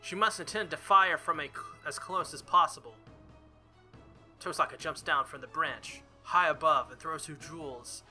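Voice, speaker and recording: Bright-voiced man reading a game aloud. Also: a little high, normal speed, soft background music. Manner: dramatic reading broken by casual asides. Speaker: let's player. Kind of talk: visual novel playthrough, reading aloud with reactions.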